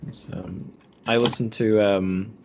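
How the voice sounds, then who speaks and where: A man with a fairly low voice hosts an informal chat. He feels his way through sentences, thinking aloud. Some ambient noise sits behind him. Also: steady tone, slow. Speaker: podcast host. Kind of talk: casual conversational hosting.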